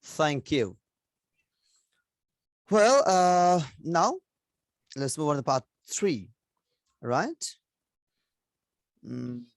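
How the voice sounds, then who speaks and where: Brief: examiner, very slow and deliberate, lively pitch, dry close sound. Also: vocal fry, dry recording. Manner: slow, measured, with fillers. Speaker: examiner, teacher. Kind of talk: mock exam questioning.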